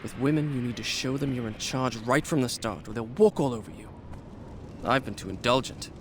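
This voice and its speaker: A man's voice: mid-range voice, fairly quick, a little hiss or hum, lightly acted. An actor playing a proud, sardonic young Persian prince, telling his adventure in eloquent, formal, dramatic game dialogue. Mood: sardonic, indignant. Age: young adult. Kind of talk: dramatic video game voice acting and storytelling. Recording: music behind.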